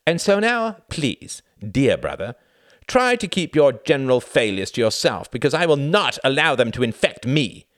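A man's voice: on the low side, wildly swooping pitch, moderate pace, a bit bright, no echo, clean studio sound, voice pushed up. A man voicing a haughty, spiteful, well-educated young upper-class brother in an audiobook, theatrical, sneering and condescending. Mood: cold fury. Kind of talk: audiobook character acting.

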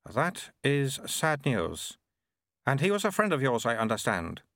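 A man's voice: medium pitch, big pitch swings, unhurried, a bit bright, no room sound, studio quality, pitched above the performer's own voice. A man voicing a serene old abbot in a baby's body who babbles between his words. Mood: calm.

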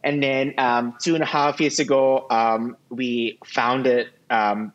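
Relaxed man from Singapore, halting. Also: mid-range voice, lo-fi sound. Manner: relaxed, halting with many ums, self-deprecating. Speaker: cheesemonger guest. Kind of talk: casual interview chat.

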